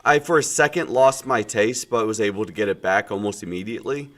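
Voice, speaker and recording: A man in his thirties with a cold, chatting casually while streaming a game, his normally loud voice sounding muted and subdued, rambling with many fillers. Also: on the low side, a little fast. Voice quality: loud projecting voice, subdued today. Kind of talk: casual chat while gaming.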